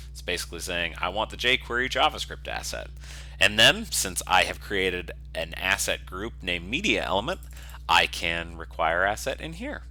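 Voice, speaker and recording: Deep, very bright-voiced man explaining. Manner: casual, methodical step-by-step explaining. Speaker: software developer giving a tutorial. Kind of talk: screencast coding tutorial, explanatory and unscripted.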